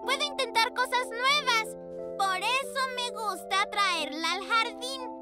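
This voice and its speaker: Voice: very high voice, measured pace. An actor voicing a cheerful, earnest little girl pug puppy in a children's cartoon. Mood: earnest, proud. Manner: earnest, expressive. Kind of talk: children's cartoon voice acting, Spanish dub. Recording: music behind the voice.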